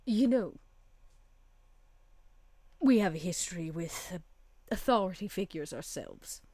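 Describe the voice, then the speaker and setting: A composed vampire woman, played by a woman at a roleplay table, speaks in a lowish, mellow voice. She is measured and sardonic, with dry wit, recorded close and dry. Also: animated, dry recording. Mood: cool, wry.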